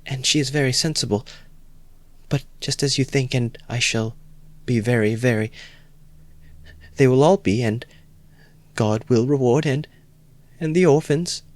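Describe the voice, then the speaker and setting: A man voicing a timid, poor young woman who talks in halting, broken-off phrases during an audiobook reading. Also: medium pitch, steady tone, no room sound, pitched above the performer's own voice, lightly acted. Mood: flustered.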